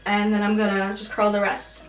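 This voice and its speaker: An eighteen-year-old woman talking casually in an interview, relaxed and full of "like". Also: mid-range voice, normal speed. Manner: relaxed, uses lots of "like". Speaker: cosmetology student. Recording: roomy echo, distant mic, faint music.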